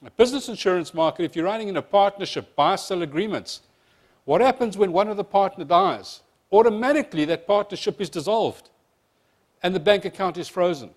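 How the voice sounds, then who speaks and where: A man from South Africa with a South African accent and a slight speech impediment, giving a fast-paced, joking insurance seminar presentation to a room of advisers. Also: sits high, expressive. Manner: fast-talking presenter, jokey asides. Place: seminar room with live audience.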